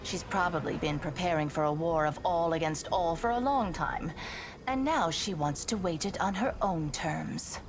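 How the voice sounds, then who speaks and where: A woman's voice: lowish voice, normal speed, background noise, natural voice. A woman voicing a sly, mocking female demon in a video game, theatrical, self-assured and mockingly polite. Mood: scheming, assured.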